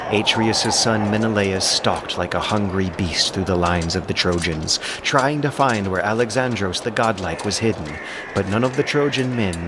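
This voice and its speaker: A man's voice: fairly low pitch, very noisy. A man narrating an ancient epic as a dramatic, scripted audiobook.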